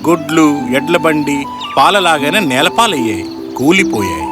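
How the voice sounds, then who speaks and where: A man narrating a children's fairy tale as a dramatic, expressive storyteller. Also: fairly high pitch, moderate pace, music in the background.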